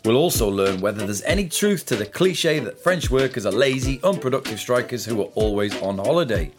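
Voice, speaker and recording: A man's voice: medium pitch, animated, a little fast. Male podcast host over music. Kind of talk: scripted introduction and news discussion.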